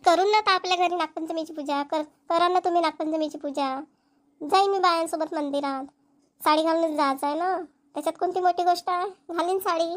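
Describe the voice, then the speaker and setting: A woman's voice: extremely high pitch, fairly even intonation, fairly quick, no room sound, clean studio sound. A woman voicing village women in a cartoon comedy, speaking colloquial rural Vidarbha Marathi. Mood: irritated. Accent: Maharashtrian, rural Vidarbha Marathi. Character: young village women, mothers and nagging wives in a comedy.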